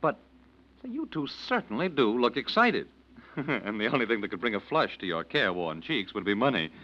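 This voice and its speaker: A man's voice: mid-range voice, very animated, moderate pace, sharp tone. A man acting a wry, inquisitive lodger in American radio drama, with an American accent and articulate, needling speech. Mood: sardonic teasing. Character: sardonic, wordy male lodger, teasing and inquisitive. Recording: dull old 1940s radio recording.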